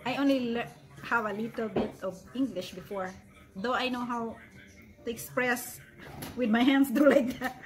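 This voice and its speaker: A chatty woman from the Philippines with a Visayan accent and a high voice talks casually to her camera in her living room. She is in her forties and laughs often. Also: fairly even intonation, moderate pace, over music, poor audio. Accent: Visayan Filipino. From Bohol, Philippines. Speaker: vlogger. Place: home living room, indoors. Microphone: camera at arm's length, then on a stand.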